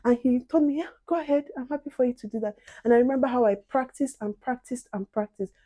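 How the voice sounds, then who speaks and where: A soft-spoken young woman chatting casually to viewers while doing her makeup. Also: sits high, fast, no room sound. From outside the UK. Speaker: beauty and advice vlogger.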